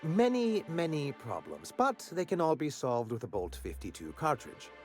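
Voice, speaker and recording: A man's voice: a little high, huge pitch swings, moderate pace, a little mellow, soft background music. An actor playing a flamboyant, vain male corporate executive who talks in a theatrical, florid way full of innuendo. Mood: grandiloquent.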